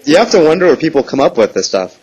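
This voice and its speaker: A man's voice: fairly high pitch, big pitch swings, quick pace, a little mellow, slightly rough, lo-fi sound. A man calling on the phone with casual, meandering questions, hesitant in delivery but persistent. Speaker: prank caller. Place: phone call.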